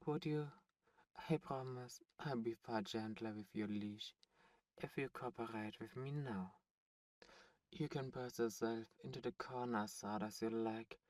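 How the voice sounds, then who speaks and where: A man playing a smug, teasing demon in an ASMR roleplay, speaking in a taunting, deliberate way with drawn-out pauses. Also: mid-range voice, darkish tone, no echo, distant mic, natural voice. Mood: coaxing, threatening. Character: smug teasing male demon buyer at a captive auction. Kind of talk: ASMR roleplay audio drama.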